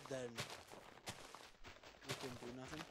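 A man's voice: medium pitch, takes it slowly. Joking man, casual gaming chat, hissy mic. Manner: relaxed, joking. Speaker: co-op game player.

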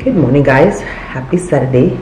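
A woman's voice: very deep voice, lively intonation, normal speed, background noise. A woman in her thirties from Tanzania, chatting casually and informally to camera as a vlogger. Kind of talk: casual vlog chat to camera. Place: home interior, open living room and kitchen. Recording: roomy echo, music underneath.

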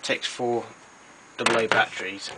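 A man giving an informal, matter-of-fact demonstration as a collector, explaining things in a halting way. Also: on the low side, fairly even intonation, very noisy. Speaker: collector presenting his tape recorders. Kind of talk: informal product demonstration narration.

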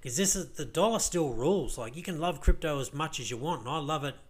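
Croaky, worn-out Australian man; casual rambling vlog commentary, lots of fillers. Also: fairly high pitch, expressive, fast. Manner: rambling, filler-heavy, many restarts. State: croaky, tired voice. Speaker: crypto vlogger. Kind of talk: casual screen-share commentary.

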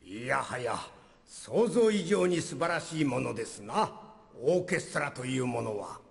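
An actor playing an amiable, doddering old judge in a comic courtroom skit, speaking ceremoniously and theatrically. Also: male voice, mid-range voice, sing-song, measured pace, vocal fry. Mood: wistful, admiring. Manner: ceremonious, theatrical, absent-minded. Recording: reverberant concert hall, faint music.

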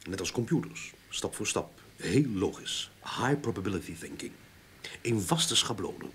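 An actor playing a talkative, enthusiastic sleuth in a radio drama, explaining things eagerly in a husky voice with emphatic repeats. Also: male voice, lowish voice, expressive, moderate pace, slight background noise, lightly acted. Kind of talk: Dutch radio drama acting.

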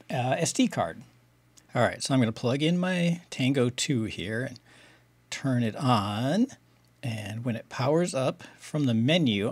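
A man's voice: medium pitch, husky, no echo. A middle-aged man with an American accent hosting a casual tutorial, explaining things step by step in a conversational way. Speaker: video tutorial host.

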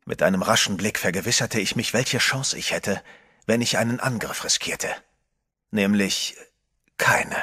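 A man's voice: fairly low pitch, unhurried, bright, forceful tone, breathy edge. A man narrating a horror radio play in the first person, tense and dramatic. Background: music.

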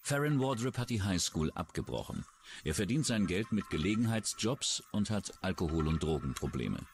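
Low-voiced male true-crime narrator. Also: very animated, normal speed, background noise. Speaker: documentary narrator. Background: background music.

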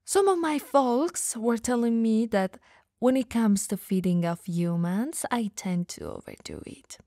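A woman playing a teasing, dominant but caring vampire in an ASMR audio roleplay, breathy and gravelly, often dropping to whispers, coaxing and playfully condescending. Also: a little high, big pitch swings, unhurried, dark tone, no echo, clean studio sound, full character voice. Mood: wry, defensive.

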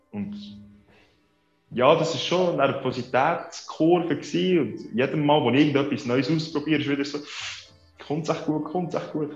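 A man in his thirties with a Swiss German accent talks casually in an interview. His voice sits at a medium pitch. The sound is echoey and a little dull, like a video call from a small room, with faint music behind. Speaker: interview guest, social media creator.

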